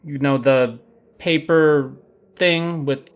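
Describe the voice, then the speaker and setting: Laid-back, dim stunt-bro slacker. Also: male voice, a little high, fairly even intonation, slow, smooth. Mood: breezy, oblivious. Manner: slangy, easygoing slacker drawl. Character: laid-back, dim, reckless surfer-bro stunt coordinator, younger brother. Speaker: actor in audio drama. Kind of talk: comedy audio drama acting.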